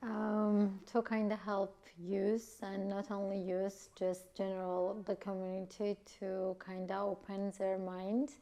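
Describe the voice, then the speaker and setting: A woman's voice: medium pitch, monotone, dark tone. A woman in her twenties from Georgia, a volunteer speaking tentatively with many small hedges. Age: 20s. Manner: slow, unhurried, tentative.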